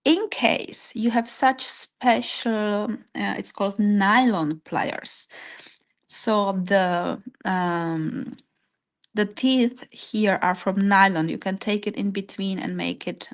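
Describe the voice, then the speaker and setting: A woman with a Czech accent leading an informal live craft tutorial step by step, hesitantly searching for her English words. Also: medium pitch. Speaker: craft workshop instructor.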